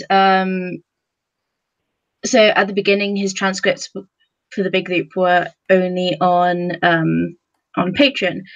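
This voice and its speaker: A woman speaking casually and haltingly on a podcast panel, feeling her way through her thoughts. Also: on the low side, fairly bright tone, no room sound, highs cut off.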